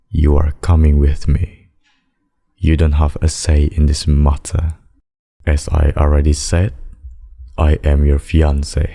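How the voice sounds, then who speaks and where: A man voicing a very deep, unhurried demon king. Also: dark tone, heavily acted. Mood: menacing, possessive. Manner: slow, deliberate, intimate addresses with pet names. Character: possessive demon king, menacing abductor turned tender secret lover. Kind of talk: scripted boyfriend ASMR roleplay.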